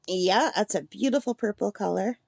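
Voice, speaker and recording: A woman with a slightly gravelly voice chatting and gushing as she swatches paints in a casual demo at her craft table. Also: mid-range voice, normal speed, a little mellow, no room sound, clean studio sound. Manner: chatty and gushing, thinks aloud. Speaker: art supply reviewer.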